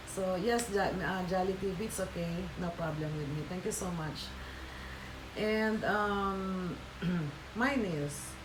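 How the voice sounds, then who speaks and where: A woman's voice: mid-range voice, takes it slowly, long pauses, reverberant, background noise. A Filipina woman with a Filipino accent, a Tagalog speaker, hosting a casual livestream and chatting with viewers with many fillers and restarts. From Philippines. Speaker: livestream host.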